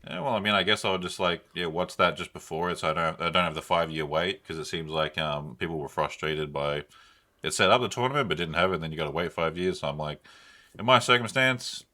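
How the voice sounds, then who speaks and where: A man's voice: low-pitched, a little fast, sharp tone. A young man co-hosting a podcast, giving casual, unscripted reviews in a rambling, slangy way. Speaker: podcast co-host. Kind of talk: casual unscripted film and game review chat.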